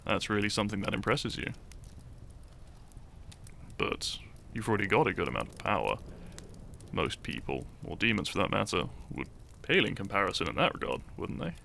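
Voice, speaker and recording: A man acts out a shy young fantasy hero with a lowish voice, heavy in creak. He is teasing and lovestruck, softly stumbling over his words in an intimate romantic audio roleplay. There is faint noise behind him. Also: very animated, normal speed, full character voice. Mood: curious, fond. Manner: soft, hesitant, stammering, breathy sighs and chuckles.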